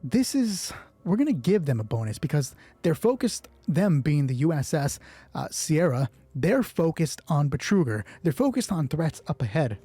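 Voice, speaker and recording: Mellow, husky man thinking aloud. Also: fairly high pitch, sing-song, moderate pace, dry recording. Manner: deliberating, asks himself questions and answers them. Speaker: solo roleplay game master. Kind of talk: narrating a solo tabletop game, thinking aloud.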